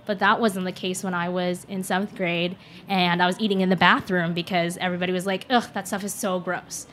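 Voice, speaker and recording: A woman's voice: on the low side, level tone, brisk, fairly bright tone. Young woman chef, earnest, lots of "like" and "um", faint noise in the background. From United States (born in Shanghai). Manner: earnest, reflective, frequent fillers like and um. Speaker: chef, guest. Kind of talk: casual interview conversation.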